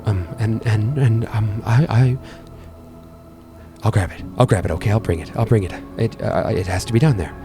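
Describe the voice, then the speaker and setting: A man's voice: on the low side, music in the background, lightly acted. A man voicing a mousy, nervous, forgetful fellow who stammers, hums to himself and repeats his phrases in a tabletop game. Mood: anxious. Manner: halting, stammering, repetitive, humming to himself.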